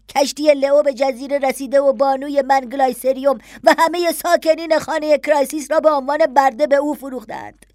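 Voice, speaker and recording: A woman's voice: sits high, no echo, studio quality, voice pushed up, lightly acted. A woman voicing a distraught, fiercely loyal servant woman in an audiobook, urgent, insistent and pleading. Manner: impatient, urgent, voice climbing louder. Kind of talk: dramatized audiobook dialogue.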